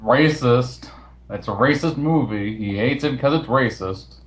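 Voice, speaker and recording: A man's voice: medium pitch, measured pace. A man hosting a casual online voice chat, talking in an informal, matter-of-fact way. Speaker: host of the recorded chat. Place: online voice call.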